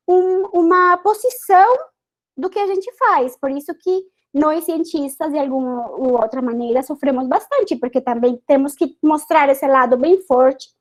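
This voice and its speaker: A woman with a Spanish accent, Spanish being her first language, speaking earnestly as a scientist guest on an online panel, with many hesitations and restarts. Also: high-pitched. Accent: Spanish-accented Portuguese. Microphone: video call.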